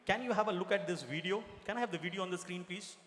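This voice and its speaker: A man addresses a large gathering with a playful, chatty manner. His voice sits high for a man. The sound carries a long, echoing reverb from the event hall. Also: fast, heavy background noise. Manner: chatty and playful, teasing the audience. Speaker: chairman giving the opening address. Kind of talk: stage address at a community celebration.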